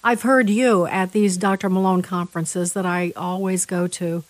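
A woman's voice: fairly low pitch, steady tone, no room sound. Chatty woman interview host. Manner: chatty, halting with restarts. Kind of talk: talk-show interview.

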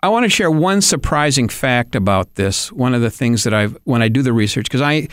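A man from Thailand speaks as a guest, telling his story in a plain, earnest way. His pitch sits in the middle for a man. The sound is dry and close, with no room around it. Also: animated, fairly quick, clean tone, no room sound. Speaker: missionary researcher, guest.

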